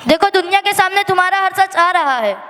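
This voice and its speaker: A high female voice recites a dramatic poetic monologue. The delivery is plaintive and emphatic, full of feeling, and rings through a hall with long reverb. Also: lively intonation, sharp tone. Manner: emotional, plaintive, emphatic delivery with drawn-out refrains. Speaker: monologue performer at a school annual day. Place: school annual day stage in a hall.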